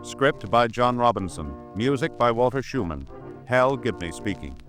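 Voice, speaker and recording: A man announcing in a formal, official, measured style on a 1950s radio broadcast. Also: mid-range voice, expressive. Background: music.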